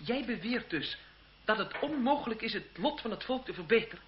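An old, slightly hissy and dull-sounding radio play features a passionate young French reformer. His voice is high for a man and moves widely in pitch. He declaims with earnest idealism. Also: expressive, fairly quick. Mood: indignant. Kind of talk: radio drama acting. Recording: muffled, hissy old radio drama recording.

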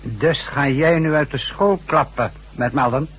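An actor playing a ruthless, coolly mocking chief of a secret organisation in a Dutch radio drama, husky and commanding. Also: male voice, on the high side, a little slow, a bit bright, low-quality recording. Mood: cool interrogation.

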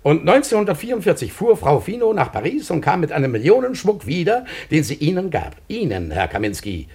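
An actor in a German radio play playing a suave, insistent interrogator who probes politely and with menace. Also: male voice, a little high, huge pitch swings, a little fast, a little mellow, slightly rough, heavily acted. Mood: accusing, pressing. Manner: politely menacing, probing, repeats questions.

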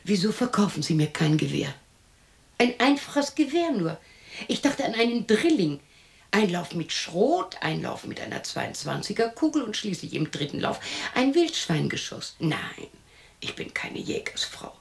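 Casting: sixtyish widow, radio drama monologue, gravelly and breathy, bitter, self-scolding, swinging widely in pitch. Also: female voice, big pitch swings, unhurried, heavily acted. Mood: insistent. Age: 60s. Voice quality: husky, creaky, often dropping to a breathy whisper. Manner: talks to herself, scolding and questioning, abrupt shifts. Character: lonely, bitter sixty-year-old widow muttering to herself. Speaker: lead actress in a radio play.

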